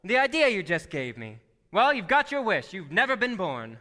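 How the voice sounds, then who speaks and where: An actor playing a gentle, naive, very old guardian angel, quaint and patient, in a radio play on stage. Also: male voice, sits high, huge pitch swings, moderate pace, heavily acted. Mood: serene, pleased. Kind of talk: stage radio-play acting in 1940s manner. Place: theatre hall, live performance. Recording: live stage taping in reverberant hall.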